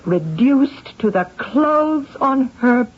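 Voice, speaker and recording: A dull, lo-fi old radio drama recording of a bitter, scheming wife. Her voice is fairly high and husky, with an insistent, theatrical delivery. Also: expressive, slow, heavily acted. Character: bitter, scheming wife of a poorly paid professor.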